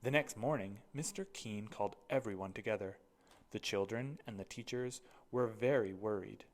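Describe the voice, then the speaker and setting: A storyteller reading a children's picture book aloud with expressive narration. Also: medium pitch, moderate pace, slight background noise.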